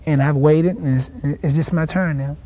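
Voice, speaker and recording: Young man, mellow tone, halting repeats, TV studio interview, lapel mic. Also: a little high, fairly even intonation. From South Carolina, raised in Washington, D.C. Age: young adult. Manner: halting, repeats and restarts words. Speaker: boxing champion guest. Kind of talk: casual TV interview answers. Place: TV studio set.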